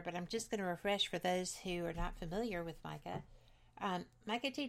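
Woman, radio host, raspy, hoarse, gravelly low voice. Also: a bit bright. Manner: chatty, enthusiastic, with restarts. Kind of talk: casual radio talk.

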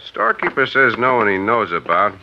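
Folksy, deep-voiced desert sheriff. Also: male voice, brisk. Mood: easygoing. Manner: relaxed, folksy. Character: easygoing small-town male sheriff's captain. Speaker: sheriff's captain. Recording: dull hissy 1950s radio recording.

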